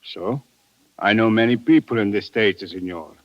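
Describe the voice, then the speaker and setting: A man's voice: fairly low pitch, moderate pace, no echo, close to an everyday voice. Actor as cautious Italian barman, muffled old radio. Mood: guarded. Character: fat Sicilian bar owner, cautious, loyal underworld contact. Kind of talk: 1950s radio drama acting.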